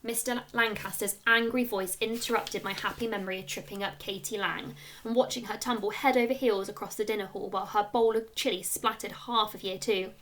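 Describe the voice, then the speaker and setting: A woman reading a children's story aloud like a schoolteacher, with expressive narration and clear storytelling emphasis. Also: mid-range voice, fairly quick, few pauses, poor audio.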